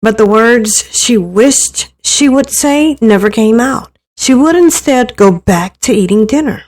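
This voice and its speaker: A woman's voice: fairly high pitch, lively intonation, unhurried, darkish tone. A woman voicing an insecure, earnest eighteen-year-old daughter in a visual novel reading, hesitant and prone to stammering. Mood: wistful, uneasy.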